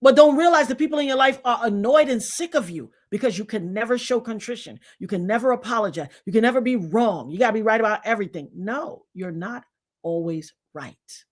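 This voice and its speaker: A woman's voice: on the high side, a little fast. Woman, 50s, channel host, casual and preachy, lectures her audience directly. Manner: animated, preachy, addresses viewers as y'all. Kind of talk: casual talk to camera.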